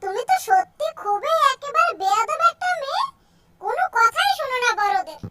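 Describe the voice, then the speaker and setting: A woman's voice: very high voice, sing-song, fairly quick, bright, forceful tone, dry recording, heavily acted. A woman voicing a scolding, bossy older mother-in-law in a cartoon, nagging sharply and threateningly. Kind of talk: cartoon dub acting.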